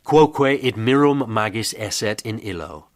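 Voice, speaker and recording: A man's voice: lowish voice, lively intonation, normal speed, no echo, clean studio sound, close to an everyday voice. A man acting in a radio drama as an eighteenth-century author, formally declaiming his own pamphlet.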